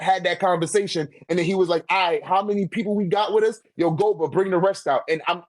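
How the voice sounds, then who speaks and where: A man's voice: high voice, no echo. A man in a casual debate, speaking informally with heavy slang and swearing. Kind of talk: casual debate about manga character rankings.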